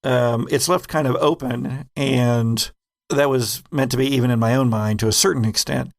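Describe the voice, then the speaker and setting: A man's voice: medium pitch, fairly even intonation, normal speed, creaky, no echo, clean studio sound. A man hosting a podcast, chatting casually and explaining things in a rambling, digressive way. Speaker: podcast host and game master. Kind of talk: casual retrospective chat.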